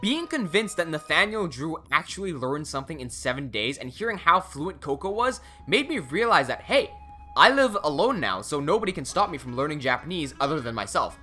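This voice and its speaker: Young man, native English and Cantonese speaker, chatty self-mocking vlogger, animated delivery. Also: on the high side, brisk, soft background music. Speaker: language-learning YouTuber. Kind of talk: casual vlog narration.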